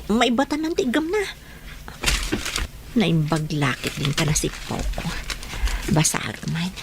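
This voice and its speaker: A woman's voice: medium pitch, background noise. A woman with a Filipino accent playing a young daughter in a radio drama. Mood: curious. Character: young woman, daughter, startled and hurt by a discovery.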